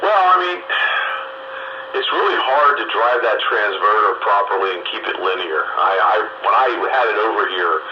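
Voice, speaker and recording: A man's voice: fairly low pitch, expressive, normal speed. Man on very noisy, muffled radio. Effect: two-way radio transmission. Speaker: amateur radio hobbyist. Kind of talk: casual technical chat over radio. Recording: muffled radio audio with loud noise.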